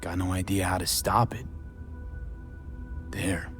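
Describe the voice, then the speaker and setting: A man's voice: deep voice, steady tone, slow, a bit bright, faint music behind. An actor playing a hardened, weary male mercenary in a game cutscene, speaking in terse, sardonic, clipped lines. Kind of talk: video game cutscene acting.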